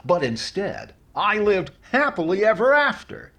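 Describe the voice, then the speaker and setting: A wry, self-satisfied male comedian, played by an actor, with a high voice that swings expressively. He tells his story in measured old-time radio style, taking his time. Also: big pitch swings, sharp tone, full character voice.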